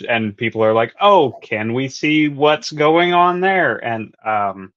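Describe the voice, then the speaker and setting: A man's voice: mid-range voice, animated, slow, fairly bright tone. Man guest on slightly dull audio. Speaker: podcast guest. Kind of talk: casual fan-theory discussion.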